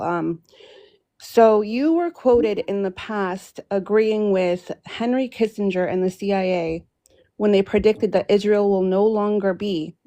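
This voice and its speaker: A woman panelist asks her prepared question politely and in a measured way. Her voice is mellow and dark in tone, with a notably flat, even melody. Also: medium pitch, dry recording.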